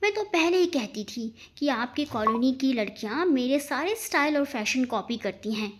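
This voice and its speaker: A woman voicing a cheeky, impulsive young woman in a story, pert, excitable and mock-innocent. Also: sits high, normal speed, background noise. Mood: smug.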